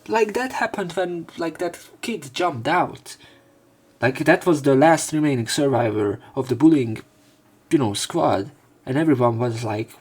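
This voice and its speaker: A man's voice: mid-range voice, darkish tone, husky. A man reading visual novel text aloud and commenting casually on it as a let's player.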